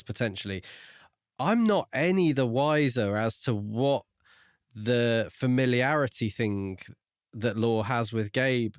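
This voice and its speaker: A man co-hosting a casual book discussion, reasoning things through in a measured, tentative way. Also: mid-range voice, dry recording, studio quality. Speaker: podcast co-host.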